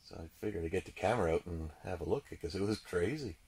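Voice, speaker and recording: Guy doing casual fishing commentary, real raspy and creaky, kinda muttering, repeats himself a lot. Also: low-pitched, fast talker, a little mellow. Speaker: ice angler demonstrating a fish finder. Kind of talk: excited casual running commentary while fishing.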